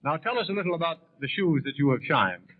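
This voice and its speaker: An American man announces on a thin, narrowband 1930s radio broadcast. His pitch is slightly raised and his delivery very fast. He is genial and formal, with wordy interview questions. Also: lively intonation.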